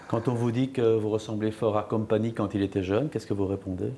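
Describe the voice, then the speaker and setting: Mellow-voiced male sports interviewer. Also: fairly low pitch, flat delivery, brisk, a little hiss or hum. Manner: asks short, direct, probing questions.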